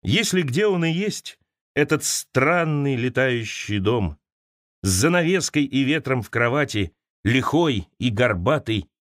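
A man reads a personal essay as an audiobook narrator. His mid-pitched voice moves widely up and down, with dramatic pauses and shifts between wry and wistful. The recording is close and dry, as in a studio. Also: sing-song, fairly bright tone, dry recording. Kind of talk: expressive literary essay narration.

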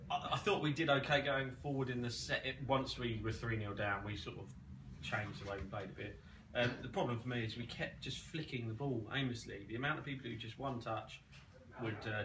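A man, an amateur footballer, giving cheeky post-match comments in a small clubhouse room. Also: medium pitch. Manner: cheeky, joking. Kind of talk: casual post-match interview. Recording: distant, echoey, noisy.

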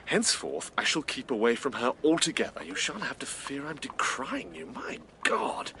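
An exasperated gentleman, hugely animated. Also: mid-range voice, moderate pace, gravelly, a little hiss or hum, exaggerated voice. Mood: affronted, restrained. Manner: formal, sighing, affronted. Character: exasperated, dignified gentleman, estranged old friend. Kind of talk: period radio drama acting.

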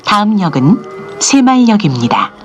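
A woman's voice: mid-range voice, sing-song, moderate pace, slight background noise. A woman reading formal, polite train station announcements in Korean, played over a train's speaker. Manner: formal, polite, evenly paced. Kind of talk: recorded transit announcement. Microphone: recorded on a handheld device inside the train. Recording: onboard speaker with chimes.

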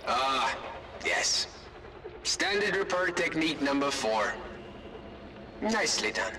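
A man voicing a calm male overseer who talks to the player over comms, in a dry, wry manner, heard as distant game audio with an echo. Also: on the high side, slow pace. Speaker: mission guide over comms. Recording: distant echoey game audio, ambient hum.

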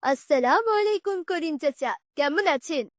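A woman's voice: high voice, animated, normal speed, no room sound, clean studio sound, pitched below the performer's own voice. A woman voicing a polite, caring boy in a children's cartoon, friendly and full of questions. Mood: respectful, approving.

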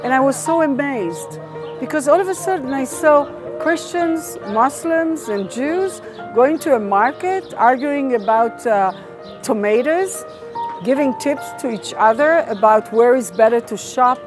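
A woman in her sixties with an Israeli accent, talking to camera on location outdoors in a lively, enthusiastic way. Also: sits high, a little slow. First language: Hebrew. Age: 60s. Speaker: presenter. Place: outdoors in a large city square. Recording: clear background music.

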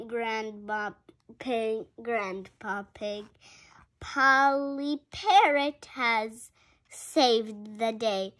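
A very young female reader going through a picture book aloud haltingly, sounding out words and adding excited asides. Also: on the high side. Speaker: reader in a children's read-along video.

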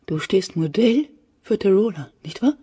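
Bossy bitter middle-aged mom in a radio play, mellow but husky, grilling her son. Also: medium pitch, expressive, normal speed. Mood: suspicious, accusing. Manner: interrogating, bitter, commanding. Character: domineering, accusing middle-aged mother of a grown son. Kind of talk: German radio drama acting.

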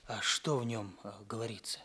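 An actor playing a timid Sicilian villager under questioning in a 1960s Soviet radio play, halting and stammering. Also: male voice, lowish voice, bright, forceful tone, slightly rough. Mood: nervous, hesitant.

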